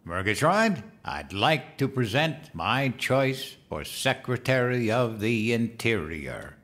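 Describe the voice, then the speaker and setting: Man acting a naive, eager, childlike president-elect, swooping pitch. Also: sing-song, slow pace, fairly bright tone, a little hiss or hum. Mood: earnest, cheerful. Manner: guileless, simple-minded, earnest talk. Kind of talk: comedic audio drama acting.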